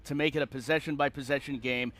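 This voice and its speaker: A man hosting a sports show and interviewing a guest, phrasing his questions in a slightly halting way. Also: mid-range voice, steady tone, a bit bright.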